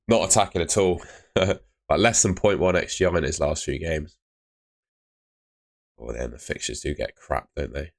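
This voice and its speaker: A young man chatting live with viewers on a stream, laid-back and chatty, his voice fading and hoarse. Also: very deep voice, normal speed, long pauses. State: losing his voice.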